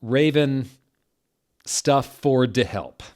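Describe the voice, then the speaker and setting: A man voicing an angry, defensive teenage girl author, loudly drawing out emphatic words in a comedic reading. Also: medium pitch, pitched above the performer's own voice. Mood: ranting. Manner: loud, emphatic, drawn-out words.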